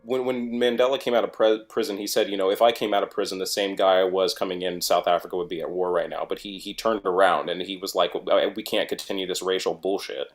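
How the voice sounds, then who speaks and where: A man's voice: low voice, steady tone, quick pace, few pauses, faint music behind. A man chatting casually and bluntly about his opinions as a guest on an online group call, sometimes swearing. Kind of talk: casual chat, political opinions.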